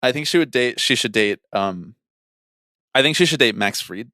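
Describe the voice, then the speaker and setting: A man's voice: mid-range voice, expressive, moderate pace, bright, forceful tone, no echo, clean studio sound. A man co-hosting a podcast in casual banter, with a teasing, opinionated way of talking. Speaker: podcast co-host.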